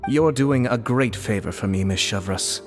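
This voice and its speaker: An actor playing a composed, formal older brother and diplomat in a game, speaking calmly and politely. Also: male voice, lowish voice, moderate pace, soft background music, natural voice.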